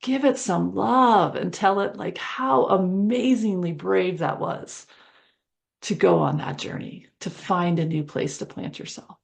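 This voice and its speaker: Female meditation guide: gravelly fry, very slow delivery, gentle and conversational, improvising a visualization in short phrases. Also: lowish voice. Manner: soothing, conversational, pausing between short phrases.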